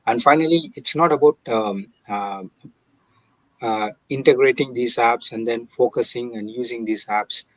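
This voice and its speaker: A man's voice: mid-range voice, unhurried, no echo, low-quality recording. A man giving prepared, explanatory tips in a methodical, step-by-step manner. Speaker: online business owner giving tips.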